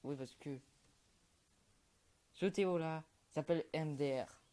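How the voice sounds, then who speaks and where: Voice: mid-range voice, slow, warm tone. A young streamer talks over his match in a loose, mumbled way. He drifts along with frequent fillers and half-finished thoughts, chatting to his viewers in an offhand manner. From Quebec, Canada. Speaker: gaming YouTuber. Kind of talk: casual gameplay commentary.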